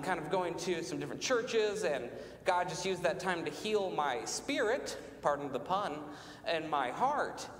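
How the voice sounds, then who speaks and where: An American man in his late thirties preaches informally in a high-pitched voice. He is self-deprecating and puts questions to his listeners. The sound is echoey, with the long reverb of a church hall. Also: a bit bright. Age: late 30s. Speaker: pastor. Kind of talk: conversational sermon with personal testimony. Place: large church sanctuary, speaking from the front. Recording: echoey church hall, faint rumbling noise.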